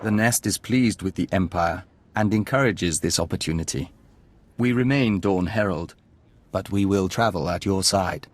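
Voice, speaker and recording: Low-voiced, serene hive-mind envoy. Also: male voice. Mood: polite, thoughtful. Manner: formal, measured, serene. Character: calm, devoted male hive-mind envoy, speaks as "we". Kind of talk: video game cutscene acting.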